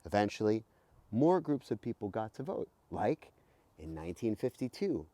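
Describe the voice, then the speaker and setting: Very animated man reading to children. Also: on the low side, moderate pace, no echo. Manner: expressive, emphatic, with rhetorical questions. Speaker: teacher reading a children's book aloud. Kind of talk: animated read-aloud for children with explanatory asides. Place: outdoors beside a house.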